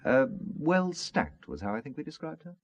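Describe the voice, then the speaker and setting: An actor playing a shrewd, wry, commanding investigator in a radio spy drama, with a dry, controlled delivery. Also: male voice, a little high, big pitch swings, moderate pace, slightly rough, lo-fi sound, full character voice. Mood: dryly teasing.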